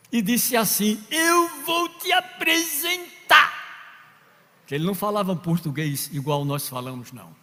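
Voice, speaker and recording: A man preaching a sermon from Northeast Brazil, telling stories, acting out quoted voices and building to shouts. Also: high voice. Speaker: pastor. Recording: long reverb of a large hall.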